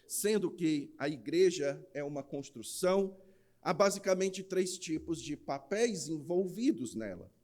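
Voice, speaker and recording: A man's voice: fairly high pitch, a little slow. A man with a Northeastern Brazilian accent preaching in church, opening with a jokey rhymed verse before an earnest sermon. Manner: jokey rhyming recitation, then steady earnest preaching. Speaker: pastor. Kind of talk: sermon with a humorous rhymed recitation.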